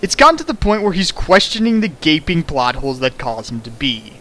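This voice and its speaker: A man's voice: fairly high pitch, expressive, brisk, fairly bright tone, slight background noise. A man reading a fan fiction aloud on a stream and mocking it with sarcastic, exasperated commentary. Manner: sarcastic, exasperated, shifts into mock voices. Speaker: fanfic reader and riffer. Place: home streaming setup.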